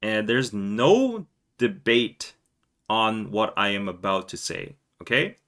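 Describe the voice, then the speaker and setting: Korean-accented male podcast host in his thirties, somewhat low voice with a bright edge, rambling and emphatic. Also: takes it slowly. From South Korea. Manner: rambling, animated, laughs between phrases, loud emphatic bursts. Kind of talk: casual live-stream chat.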